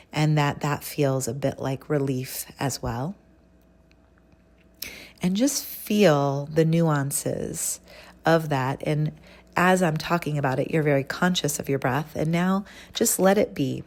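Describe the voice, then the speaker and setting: Slow, low-voiced woman guiding meditation. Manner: gentle, unhurried, instructional, with long pauses. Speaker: guided meditation leader. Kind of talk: guided visualization, breathing meditation instruction.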